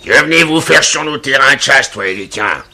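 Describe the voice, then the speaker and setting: A man voicing a boastful, hostile rival hunting chief in a cartoon, speaking in a threatening, declamatory way with a gravelly rasp. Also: mid-range voice, lively intonation, fairly quick, over music. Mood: accusing. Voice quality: gravelly, raspy. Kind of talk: animated cartoon acting.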